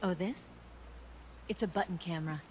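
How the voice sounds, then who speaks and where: A woman's voice: lowish voice, normal speed, background noise, lightly acted. An actress voicing a confident, flirtatious female spy in a video game cutscene, teasing and breezy. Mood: coy. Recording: faint music under the dialogue.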